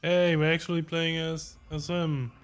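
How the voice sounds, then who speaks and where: A man's voice: a little high, flat delivery, unhurried, clean tone. A man giving casual game reaction commentary in muttered, wry asides. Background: game music.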